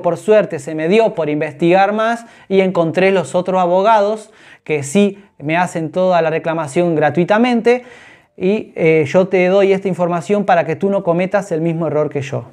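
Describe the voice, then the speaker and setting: A man's voice: sits high, darkish tone. Earnest man in his thirties. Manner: earnest, explanatory, gestures with hands. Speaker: personal finance vlogger. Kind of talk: direct-to-camera advice. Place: small indoor room, orange wall.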